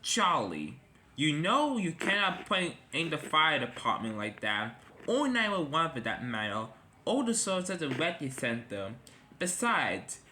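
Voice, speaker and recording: A man's voice: on the high side, pushed and bright, a little hiss or hum, exaggerated voice. A man voicing a stern middle-aged railway boss who lectures and scolds.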